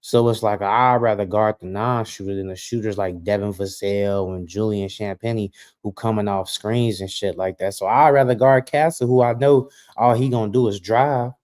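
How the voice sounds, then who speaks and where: Mellow, low-voiced man talking sports. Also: fairly even intonation, moderate pace, hardly any pauses. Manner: slangy, explanatory. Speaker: sports podcast co-host. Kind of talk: casual basketball analysis.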